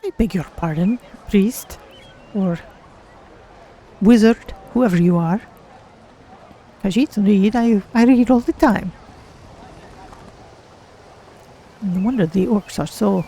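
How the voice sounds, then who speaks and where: A woman voicing a curious, polite cat-person adventurer, musing in measured, formal words as she plays a video game. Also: medium pitch, mellow, slightly rough. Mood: indignant. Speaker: game streamer. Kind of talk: in-character gameplay commentary.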